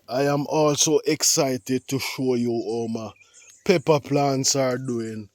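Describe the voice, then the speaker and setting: A man with a Jamaican accent chatting casually to viewers as a gardening vlogger, unhurried and repetitive, slightly congested with a cold. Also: medium pitch, no room sound. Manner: unhurried, repetitive, with frequent "yeah" and "you know". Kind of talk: casual vlog talk to viewers. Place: outdoors in a garden.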